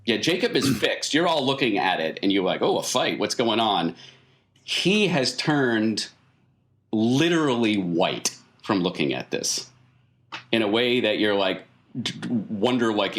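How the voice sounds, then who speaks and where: Deep american dude as game master, halting storytelling, describing stuff bit by bit. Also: male voice, big pitch swings, a bit bright. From small-town Maine, US. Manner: halting, builds scenes gradually. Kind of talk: improvised tabletop game narration.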